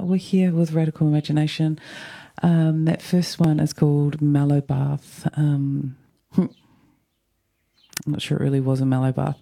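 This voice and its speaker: A radio host with a croaky morning voice, talking in a meandering, thoughtful, soft-spoken way on a casual solo show. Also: mid-range voice. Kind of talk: casual reflective solo talk.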